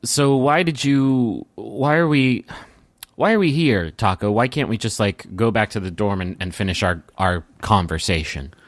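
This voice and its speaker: Man playing an uneasy, polite reaper, low-voiced. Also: expressive, normal speed, no echo, close to an everyday voice. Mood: puzzled, uneasy. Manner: hesitant, apologetic. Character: uneasy, polite male reaper on an awkward date.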